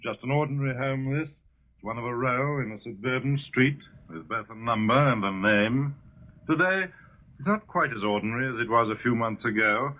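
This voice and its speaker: A man's voice: medium pitch, normal speed. A man with a British accent presenting scripted narration in 1940s radio style. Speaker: broadcast presenter. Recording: dull lo-fi 1940 radio recording.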